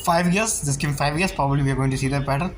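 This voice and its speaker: Fast-talking game streamer in noisy audio. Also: medium pitch. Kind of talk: casual reaction commentary over gameplay.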